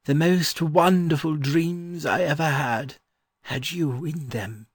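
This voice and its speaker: A man voicing a gentle, wistful old scholar in an audiobook, musing fondly and haltingly. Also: on the high side, slightly rough, no room sound, clean studio sound, voice pushed up. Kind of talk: audiobook character voice.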